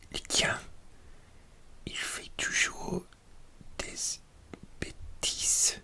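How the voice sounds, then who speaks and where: Slow whispering man, crisp and bright. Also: sits high. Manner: slow, careful whisper, hesitant over unfamiliar words. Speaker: learner presenting dialect rules. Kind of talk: whispered ASMR reading.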